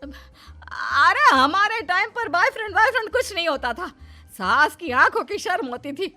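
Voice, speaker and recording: Woman playing a shrill, scolding Indian mother-in-law. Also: sing-song, normal speed, bright, forceful tone, faint music behind, full character voice. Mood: indignant. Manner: nagging, dramatic, indignant. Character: scolding, old-fashioned middle-aged Indian mother-in-law. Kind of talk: animated moral story acting.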